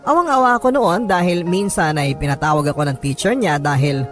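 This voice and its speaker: A man's voice: high voice, brisk. A man with a Filipino accent reading a first-person story as a radio drama host, in an emotive, sorrowful storytelling manner. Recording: music bed under the voice. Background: background music.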